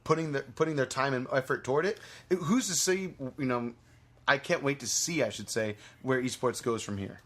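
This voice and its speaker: A man's voice: medium pitch, a little fast, a bit bright. Earnest man chatting on a podcast. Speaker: podcast co-host. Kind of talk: casual group podcast chat.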